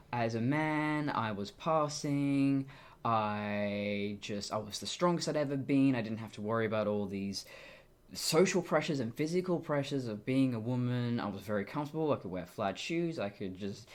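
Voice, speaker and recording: A young woman with a deep voice for a woman, talking casually and candidly to camera in a wry, self-interrupting way. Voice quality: deep voice for a woman, deepened by testosterone. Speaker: vlogger. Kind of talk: casual personal monologue to camera.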